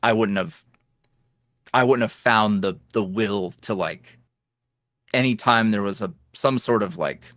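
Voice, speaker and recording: A man guest on a podcast, an ASMR roleplay creator, talking candidly in a rambling, filler-heavy way that swings from lively bursts to low mutters. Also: unhurried, long pauses, dry recording, studio quality. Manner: swings between animated highs and low mutters. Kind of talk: casual interview chat.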